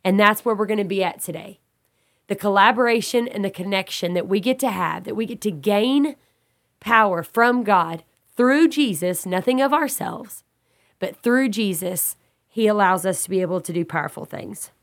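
A woman with a congested, slightly raspy voice, teaching a Bible study in an earnest, preacherly way and restating her points as she goes. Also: medium pitch, normal speed, fairly bright tone. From Texas.

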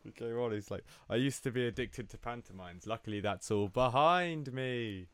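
A man's voice: mid-range voice, normal speed, fairly bright tone, clean tone, no echo. A young man from Brazil, a native Portuguese speaker, co-hosting a podcast with dry, teasing banter and casual swearing. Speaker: podcast co-host. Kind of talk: casual chat.

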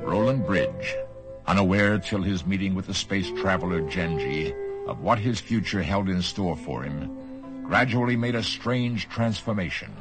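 A man's voice: low-pitched, low-quality recording. A man giving scripted, dramatic story narration for a radio play. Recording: loud music behind the voice.

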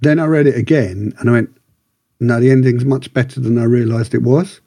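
A man with a relaxed, husky voice chats through a review on a podcast, recorded dry and close. He rambles enthusiastically, often correcting himself as he goes. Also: medium pitch, fairly quick, no room sound. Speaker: podcast co-host reviewing books and radio dramas. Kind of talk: casual review chat.

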